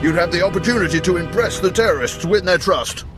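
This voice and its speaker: An actor playing a wry, seasoned imperial station chief in a video game, speaking in a deliberate manner. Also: male voice, high-pitched, animated, fairly bright tone, soft background music. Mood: wry, scheming. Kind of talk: scripted video game dialogue.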